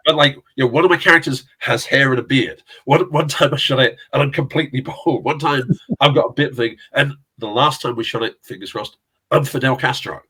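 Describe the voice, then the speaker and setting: Middle-aged south london guy rambling thru showbiz stories, husky voice, laughs a lot, over a video call. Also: mid-range voice, a bit bright. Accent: South London English. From England. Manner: rambling anecdotes, laughing often, swearing. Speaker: interview guest, casting director and actor. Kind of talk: casual chat.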